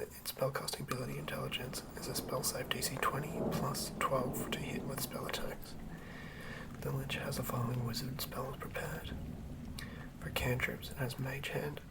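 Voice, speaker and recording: A person whispering breathily and deliberately close to the microphone, as the host of a fantasy game ASMR read-through. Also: mid-range voice, distant mic, rough recording. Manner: hushed, deliberate phrase-by-phrase reading. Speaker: host and game master reading a monster stat block.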